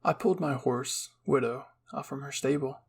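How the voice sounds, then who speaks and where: A man's voice: medium pitch, normal speed, creaky, husky. A man reading scripted first-person narration as a storyteller.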